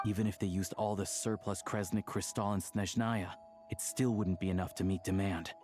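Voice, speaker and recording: A man's voice: sits low, fairly even intonation, moderate pace, darkish tone, faint music behind, natural voice. An actor playing a wry, world-weary townsman in a video game, with a laconic, easygoing manner. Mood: dry, resigned. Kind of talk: video game character dialogue.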